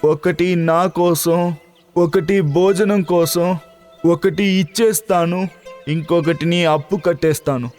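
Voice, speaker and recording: An actor playing a humble, clever farmer who explains respectfully and patiently in a children's cartoon story. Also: male voice, sits high, steady tone, slow pace, darkish tone, big-room sound. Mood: humble, explaining. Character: humble, clever male farmer in a fairy tale. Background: background music.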